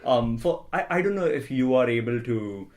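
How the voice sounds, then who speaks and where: A man's voice: medium pitch, animated, moderate pace, darkish tone. Hesitant young male podcast host. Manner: hesitant, many restarts and "like"s, friendly. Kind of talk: casual podcast interview.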